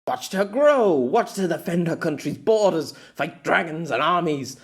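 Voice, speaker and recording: Man acting a distraught, bitter exiled man, wildly animated. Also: fairly high pitch, unhurried, pitched above the performer's own voice. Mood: angry, anguished. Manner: stammering, swearing, dramatic outbursts. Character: distraught middle-aged exiled man, bitter, hot-tempered, desperate.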